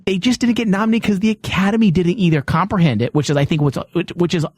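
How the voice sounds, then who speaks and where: A man with a slightly high voice hosts an opinionated panel discussion. He argues emphatically, driving his points home. The sound is dry and close, with little room around it. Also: quick pace, a little mellow, no room sound. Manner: emphatic, argumentative. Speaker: podcast host.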